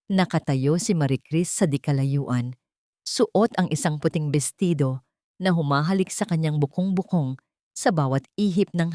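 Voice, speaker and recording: A woman's voice: deep voice, warm tone, no room sound, clean studio sound. A woman narrating a scripted dramatic story in a solemn, sentimental manner.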